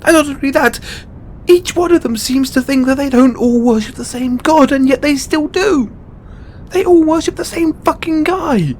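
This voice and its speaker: An actor playing a distraught, overburdened god in an emotional audio roleplay, a man speaking tearfully and haltingly with exasperated swearing. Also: extremely high pitch, a little mellow, slight background noise. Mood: exasperated, angry. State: crying. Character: distraught, overburdened male god venting to his devotee.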